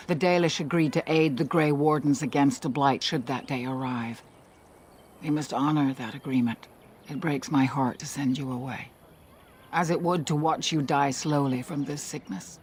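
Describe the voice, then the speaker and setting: A man's voice: a little high, a little slow, a little hiss or hum, lightly acted. An actor, a man by voice, playing a solemn, caring elven clan leader in a fantasy game, with earnest, formal speech. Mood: solemn, urgent. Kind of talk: video game cutscene acting.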